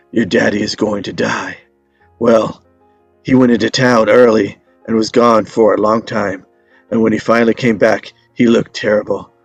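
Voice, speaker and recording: Creaky, deadpan old storyteller, played by a man. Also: on the low side, no room sound, full character voice. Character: ailing old father, wry teller of tall tales. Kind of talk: dramatic script table read.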